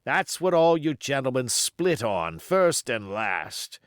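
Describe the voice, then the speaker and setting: A man voicing a slow, sly pirate sea cook. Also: medium pitch, sing-song, dry recording, studio quality, voice pushed up. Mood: scornful, exasperated. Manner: rustic seafaring dialect, emphatic. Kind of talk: audiobook character voice.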